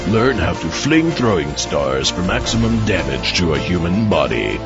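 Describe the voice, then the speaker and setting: A man's voice: deep voice, muffled recording, heavily acted. A man playing a mock-serious, hard-sell announcer in a parody advert, speaking emphatically.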